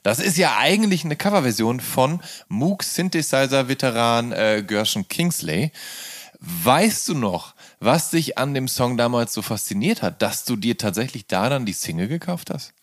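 A man interviewing in a casual, informed way, halting and correcting himself as he explains. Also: medium pitch, big pitch swings.

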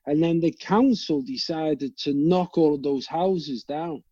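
A man with a Liverpool accent, a guest telling animated, rambling stories and swearing freely. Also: fairly high pitch, measured pace, husky, no echo, lo-fi sound. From England. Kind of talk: casual storytelling chat.

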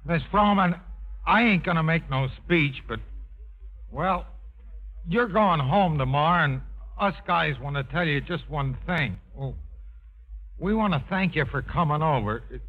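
Young-hearted soldier: bashful American, halting and homespun, rough husky voice, narrow 1950s radio sound. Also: male voice, fairly high pitch, lively intonation. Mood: bashful sincere. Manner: halting, folksy. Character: bashful, plain-spoken wounded American GI. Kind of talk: 1950s radio drama acting. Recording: thin, phone-like old radio recording.

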